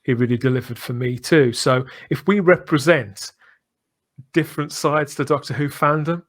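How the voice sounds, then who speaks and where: A middle-aged man with a mid-pitched voice, talking as a podcast host. He is effusive and emphatic, wandering through his points in a casual fan-to-fan chat. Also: normal speed. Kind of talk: casual enthusiastic fan discussion.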